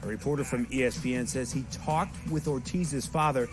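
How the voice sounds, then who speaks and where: A man's voice: mid-range voice, brisk, music in the background. A man reading a breaking news bulletin as a TV anchor.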